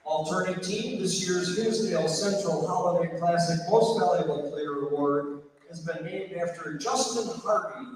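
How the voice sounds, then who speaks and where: A man's voice: sits high, moderate pace. A man making formal award-ceremony announcements over a public address system in a large gymnasium. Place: large indoor school gymnasium. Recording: echoey gym PA, distant, faint noise.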